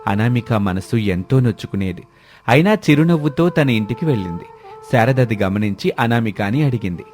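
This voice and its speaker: A man's voice: medium pitch, animated, normal speed, darkish tone, faint music behind. A man reading a scripted story as its narrator.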